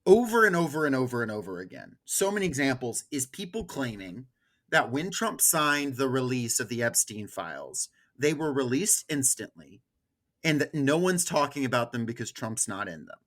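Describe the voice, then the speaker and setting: Wry man chatting, medium-pitched voice. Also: very animated, normal speed, a bit bright. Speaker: podcast co-host. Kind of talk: casual political chat.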